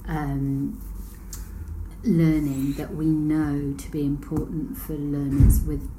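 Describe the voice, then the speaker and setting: A woman's voice: low-pitched, level tone, slow pace. A woman interviewing a researcher, asking questions in an attentive, affirming way. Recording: audible background noise.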